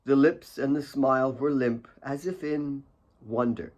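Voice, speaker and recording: A man reading his own fiction as an audiobook, with solemn, deliberate dramatic narration. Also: medium pitch, a little mellow.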